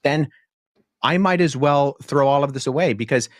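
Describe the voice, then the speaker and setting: A young man speaking as a debate guest, earnest and articulate, laying out his reasoning at a measured pace with frequent fillers. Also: mid-range voice, no room sound, clean studio sound. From born in Pakistan, childhood in Jordan. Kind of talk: podcast debate answer.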